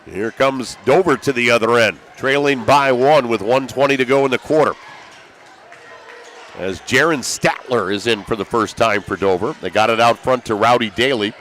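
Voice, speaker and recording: A man's voice: mid-range voice, normal speed, a bit bright. A man calling live basketball play-by-play as a sports broadcaster. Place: high school basketball gym. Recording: crowd and gym noise behind. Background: gymnasium crowd, bouncing basketball.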